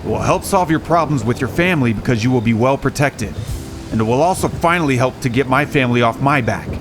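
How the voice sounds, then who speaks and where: A man's voice: medium pitch, expressive, fast talker, music in the background, lightly acted. A man playing a cool, guarded young bachelor in an audio drama, matter-of-fact and protective. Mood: pragmatic.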